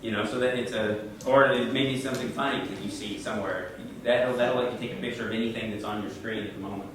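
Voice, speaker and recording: A man's voice: fairly low pitch, fairly even intonation, fast talker, noisy background. A man presenting tech tips at a user group meeting, talking conversationally and answering questions, often repeating words as he goes. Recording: echoey room, mic far off.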